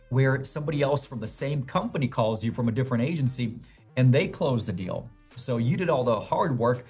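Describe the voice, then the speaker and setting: A man with a slightly rough, husky voice delivers an instructional talk. He explains step by step in a measured way, with faint music behind him. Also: medium pitch, a little mellow. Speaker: sales trainer, host. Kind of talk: instructional narration.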